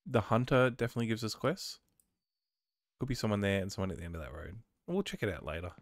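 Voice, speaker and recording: A man with a slightly low voice talks through his gameplay in an easygoing commentary style. He has a cold that colors his voice. He reads on-screen instructions aloud and thinks out loud as he goes. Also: very animated, fast, no room sound, clean studio sound. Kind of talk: casual let's-play commentary.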